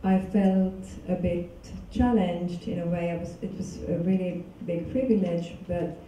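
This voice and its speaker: A woman from Finland giving a public lecture in a large hall, talking in a halting, casual way with many fillers and restarts. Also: lowish voice, warm tone, dull audio, a little hiss or hum. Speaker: guest lecturer. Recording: echoey hall from far off.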